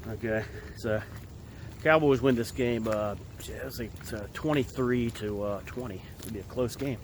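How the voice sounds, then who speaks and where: Man, cold-roughened voice, casual halting vlog talk on a walk outside; faint ambient noise, drifts off-mic at times. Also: medium pitch. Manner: rambling, halting with many fillers. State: hoarse from a cold. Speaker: vlogger giving football picks. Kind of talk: casual outdoor vlog chat. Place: outdoors at an empty field in winter.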